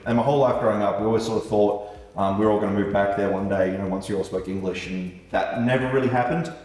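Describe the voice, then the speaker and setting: A man's voice: lowish voice, fairly even intonation, fast talker, a little mellow. A man who grew up speaking German first, a knife maker chatting casually to his viewers in a laid-back way with the odd swear. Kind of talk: casual vlog talk to viewers. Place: room with a light set up. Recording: echoey room, mic far off.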